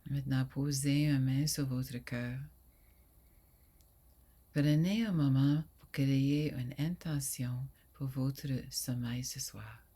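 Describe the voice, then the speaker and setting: A woman guiding a sleep meditation in a soft, soothing voice that often drops to a breathy near whisper, with long pauses. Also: very deep voice, fairly even intonation. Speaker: yoga nidra guide.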